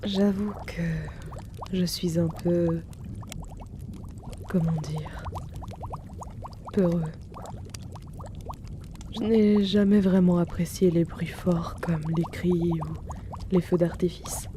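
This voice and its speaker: A timid, gentle herbalist man, played by a woman, speaks in a soft, soothing ASMR roleplay voice. He talks very slowly and calmly. Steady rain and the sound of pouring liquid are clearly heard behind him. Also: on the low side, background noise. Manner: soft-spoken, slow and soothing.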